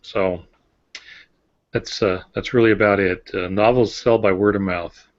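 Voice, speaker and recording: An older man speaks in a fairly low voice as he co-hosts a casual podcast. His delivery is plain and matter-of-fact, and the sound is a little dull. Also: fairly even intonation, measured pace. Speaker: novelist and podcast co-host. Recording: dull lo-fi audio.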